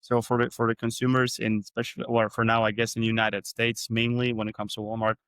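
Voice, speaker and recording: A man's voice: mid-range voice, monotone, fast talker, fairly bright tone, clean tone, no room sound. A man from Europe hosting a podcast, asking curious questions in a chatty way. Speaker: podcast host. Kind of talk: casual interview talk.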